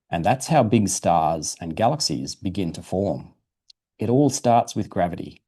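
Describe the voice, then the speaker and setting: A man with a fairly low, very mellow voice reads a science storybook to children. He explains things simply, in the manner of a museum presenter at storytime. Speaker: guest presenter from a dinosaur museum. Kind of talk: reading a children's science book aloud.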